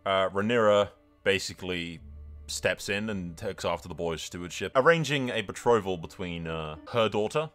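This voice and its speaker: A man's voice: low voice, moderate pace, a bit bright. A man hosting a gaming video, giving casual, informal commentary that retells a roleplay story in a sweary, tongue-in-cheek way. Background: background music.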